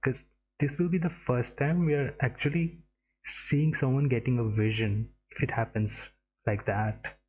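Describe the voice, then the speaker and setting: A man's voice: mid-range voice, steady tone, measured pace, darkish tone, muffled recording, a little hiss or hum. A young man from India giving casual reaction commentary as a streamer, on a headset. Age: young adult. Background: game trailer music.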